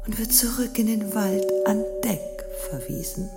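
A woman narrating a fairy tale in an experimental radio play, theatrical and deliberate, sometimes dropping to a hushed near-whisper. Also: mid-range voice, gravelly. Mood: suspenseful. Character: storyteller of a dark, dreamlike fairy tale. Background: music.

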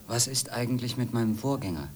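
An actor playing a calm employee in radio play dialogue. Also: male voice, mid-range voice, a little fast, close to an everyday voice. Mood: inquiring. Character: calm male employee placating his angry boss.

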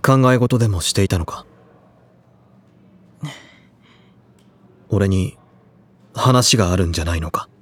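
An actor playing a serious, restrained and tormented high-school boy in a Japanese audio drama, speaking in a solemn, measured way. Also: breathy edge. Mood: concerned, calm. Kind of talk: Japanese audio drama acting, inner monologue and dialogue.

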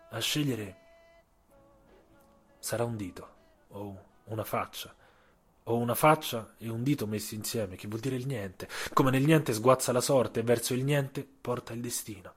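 A male narrator reads a scripted literary story for radio. His mid-pitched voice is a little husky. He phrases with care, leaning on key words and leaving dramatic pauses between them. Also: faint music behind. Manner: measured, dramatic pauses and emphasis. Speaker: writer and narrator of an audio story.

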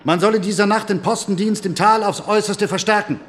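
An actor playing a sceptical general in a German radio drama, precise, controlled and authoritative. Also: male voice, high-pitched, fairly quick, a bit bright, a little hiss or hum. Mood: cool, commanding. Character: cool, sceptical commanding general questioning a subordinate.

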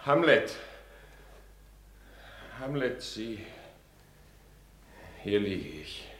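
An actor playing a proud, doomed young duellist in a radio tragedy, intense and declamatory. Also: male voice, fairly low pitch, sing-song, extremely slow, exaggerated voice. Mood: dying, remorseful. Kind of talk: classic radio play acting of stage tragedy. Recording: roomy studio echo with faint ambient noise.

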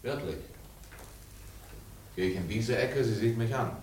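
An actor in a German radio play playing a reserved, curious male guest who listens and questions thoughtfully in a hushed manner. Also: medium pitch, unhurried, slight background noise, lightly acted. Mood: uneasy, unsettled.

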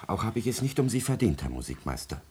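An actor playing a stiff, self-important secretary and indignant suitor in a classic radio drama, speaking in a formal, pompous manner. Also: male voice, lowish voice, big pitch swings, fast, darkish tone, husky, full character voice. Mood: pompous, offended. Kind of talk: classic German stage drama radio acting.

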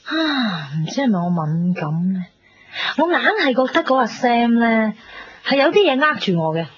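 A blunt, chatty young woman in a radio drama. She has a husky Hong Kong Cantonese voice with lively swings in pitch. The recording sounds a little dull. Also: normal speed. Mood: confiding. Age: young adult. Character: chatty, blunt young girlfriend confiding in her friend. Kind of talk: casual radio drama dialogue.

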